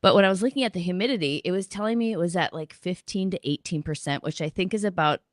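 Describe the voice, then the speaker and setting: A woman from Minnesota joining a live stream as a guest over a video call, telling a story in a friendly, relaxed way. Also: fairly low pitch, fast, no echo, clean studio sound.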